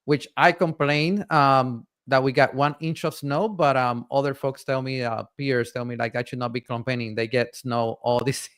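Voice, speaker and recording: A man from Puerto Rico hosting a casual tech live stream, talking in halting bursts with lots of fillers and restarts. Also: medium pitch, steady tone, a bit bright, clear, resonant tone. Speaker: community host and guest presenter.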